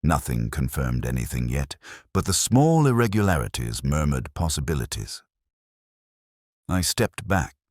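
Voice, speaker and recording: Male narrator for detective fiction. Extremely low voice, expressive pitch movement, reflective and measured delivery. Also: dry recording, studio quality. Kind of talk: scripted first-person detective mystery narration.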